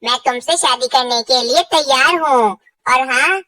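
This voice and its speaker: A woman voicing an eager, flattering male bird suitor in a children's cartoon. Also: high-pitched, measured pace, far from the mic. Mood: flattering, assured. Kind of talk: Hindi children's cartoon dialogue.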